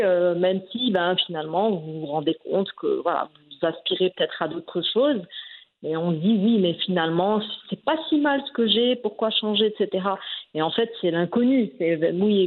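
Hesitant woman on a tinny line. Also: on the low side. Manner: hesitant, many fillers and restarts. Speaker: guest. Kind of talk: conversational interview. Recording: phone-like narrow band.

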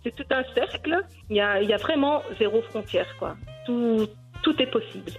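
A woman's voice: fairly high pitch, lively intonation, moderate pace, lo-fi sound, soft background music. A woman in her forties from Belgium, a cooking YouTuber answering interview questions earnestly, with many hesitations. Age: 40s.

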